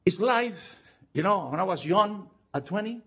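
An older man from Argentina with an Argentine Spanish accent, preaching a sermon with emphatic, drawn-out words. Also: high-pitched, slightly rough. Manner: animated and emphatic, drawing out key words. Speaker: pastor. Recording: dull lo-fi recording.

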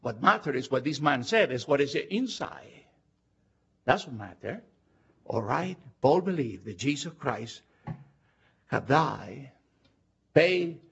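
An older man from Argentina with an Argentine Spanish accent, preaching a sermon with emphatic, drawn-out words. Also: medium pitch, slightly rough. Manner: animated and emphatic, drawing out key words. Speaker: pastor. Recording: dull lo-fi recording.

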